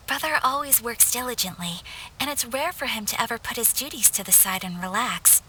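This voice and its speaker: A woman's voice: fairly high pitch, animated, normal speed, husky, heavily acted, poor audio. An actress playing a refined, gracious young noblewoman in a video game, speaking politely. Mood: gracious, fond. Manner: polite, gentle phrasing. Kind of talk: video game character acting.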